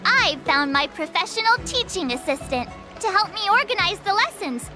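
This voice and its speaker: An eager teenage girl learning the sword in an anime game. Her voice is extremely high and bounces with lively intonation. She sounds earnest and exclamatory over clear background music. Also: moderate pace. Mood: confident. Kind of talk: anime-style video game voice acting.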